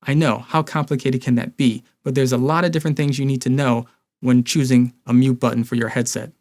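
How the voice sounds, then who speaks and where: A man's voice: mid-range voice, steady tone, fairly quick, darkish tone. A man in his thirties presenting a scripted explainer to camera as a review host. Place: small indoor home studio.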